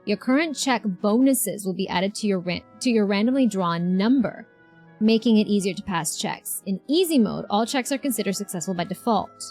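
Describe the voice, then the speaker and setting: A woman's voice: medium pitch, expressive, normal speed, a little mellow. A woman streamer giving casual game reaction commentary, chatty and thinking aloud. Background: faint game music.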